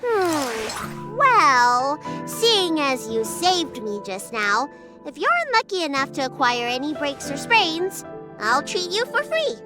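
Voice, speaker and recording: A woman's voice: high-pitched, sing-song, takes it slowly, over music, heavily acted. A woman voicing a small, proud, bossy young girl doctor in a video game, speaking in an emphatic, haughty way. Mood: self-important. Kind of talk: video game character acting.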